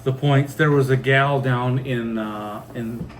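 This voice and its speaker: A man with a mid-range voice tells stories as a vlog co-host. His delivery is casual and rambling, with halting restarts, over faint background noise. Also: mid-range voice, fairly even intonation. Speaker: co-host of a family homestead channel. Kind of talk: casual rambling storytelling and chat.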